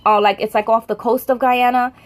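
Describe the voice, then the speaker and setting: A woman's voice: a little high, fairly even intonation, a little fast. A young woman from the United States with an American accent, chatting casually to camera in a rambling way and often correcting herself. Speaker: vlogger.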